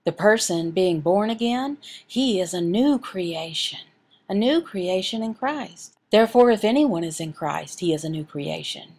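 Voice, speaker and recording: A woman delivers a sermon as a voice interpreter. Her voice sits at an average pitch and has a clear creak in it. She moves earnestly from phrase to phrase, pausing between them as she reads and explains scripture. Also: animated, darkish tone. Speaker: voice interpreter for a signed Bible teaching.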